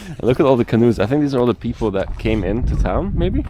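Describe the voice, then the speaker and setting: A man filming a casual travel vlog outdoors by a frozen lake, talking excitedly, laughing at himself and using plenty of fillers. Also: medium pitch, fast talker. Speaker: travel vlogger. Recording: noisy outdoor recording.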